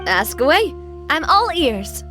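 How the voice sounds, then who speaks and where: A woman's voice: high-pitched, big pitch swings, slow pace, over music, full character voice. A woman playing a confident, playful young female gang boss in an anime game, teasing and self-assured. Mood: breezy, helpful.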